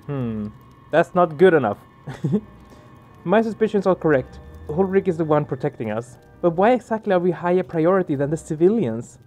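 Higher-pitched man doing game commentary. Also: big pitch swings, moderate pace, darkish tone. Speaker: let's play streamer. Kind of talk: casual gaming commentary, reading game text aloud. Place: small room indoors. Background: game music.

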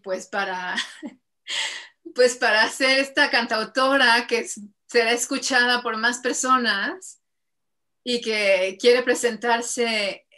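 A woman's voice: fairly high pitch, pushed and bright. A Mexican woman in her thirties, a singer-songwriter answering interview questions casually over a video call from home, with frequent fillers and laughs. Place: home room with bookshelves and string lights. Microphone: video call webcam.

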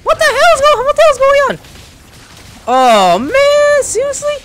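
A man giving casual gameplay commentary as a video host, excitable, reactive and prone to swearing. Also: very high-pitched, unhurried, heavy background noise. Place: small room indoors at a computer. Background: faint game music.

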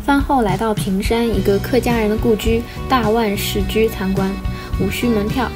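A woman's voice: a little high, steady tone, normal speed. A young woman narrating her travel vlog in a light, upbeat scripted voice-over. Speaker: travel vlogger. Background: background music.

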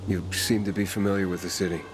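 An actor playing a guarded, determined young wanderer in a video game, speaking tersely and directly. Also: male voice, deep voice, level tone, quick pace, noisy background, natural voice. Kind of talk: video game cutscene acting. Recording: music behind the dialogue. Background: game music.

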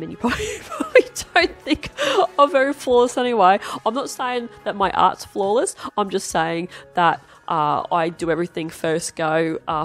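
An Australian woman with a nasal, stuffed-up voice from a cold, chatting casually and self-deprecatingly as she hosts her art channel. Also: on the low side. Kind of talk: casual chat while drawing. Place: home desk, indoors.

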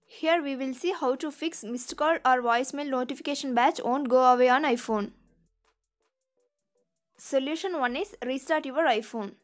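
A woman narrating a scripted step-by-step tutorial as the channel's host. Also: sits high. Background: background music.

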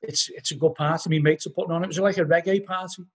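A man's voice: a little high, fairly even intonation, fast, breathy edge. A middle-aged man with a Liverpool accent, a podcast guest telling rambling anecdotes packed with "you know", talking over a video call from home. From Liverpool, England. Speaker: podcast guest, band vocalist. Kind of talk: casual storytelling interview. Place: living room at home. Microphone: laptop webcam on a video call.

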